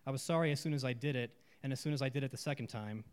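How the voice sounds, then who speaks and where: A man who leads a writing workshop, introducing his students' pieces in a loose, halting way and reading them aloud slowly and deliberately. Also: mid-range voice, darkish tone, clean tone. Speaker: writing workshop leader reading participants' work. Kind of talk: live reading to an audience.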